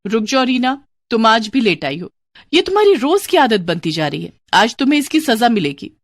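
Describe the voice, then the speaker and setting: A woman's voice: a little high, sing-song, moderate pace, no room sound, clean studio sound. A woman voicing a strict female schoolteacher in a cartoon, stern and reprimanding.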